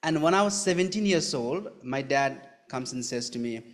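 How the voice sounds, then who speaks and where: A man from Nepal with a Nepali accent, telling his personal story in a live interview in an earnest, halting way, repeating phrases. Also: medium pitch, animated. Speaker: guest giving his testimony.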